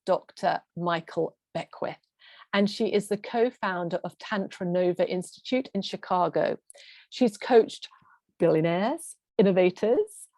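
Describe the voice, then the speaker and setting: A woman hosting an online summit, introducing her guest with enthusiasm and asking warm, effusive questions. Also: mid-range voice, dry recording. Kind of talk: hosted online interview.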